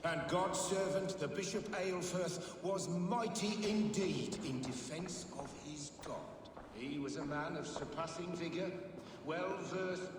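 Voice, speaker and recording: Distant, echoing solemn preacher. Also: male voice, a little high, slow pace. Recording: echoing hall, distant, noisy ambience.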